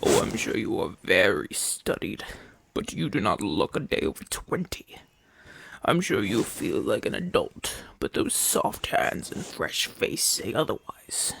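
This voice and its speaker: Casting: male actor as haughty Roman gladiator, gruff and imperious, creaky voice, unhurried delivery, breathy murmurs, for ASMR roleplay. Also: fairly low pitch, sing-song, a bit bright, no echo, full character voice, rough recording. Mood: haughty, guarded. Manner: imperious, formal, dismissive. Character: proud, haughty Roman gladiator champion, gruff but softening. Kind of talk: ASMR audio roleplay acting.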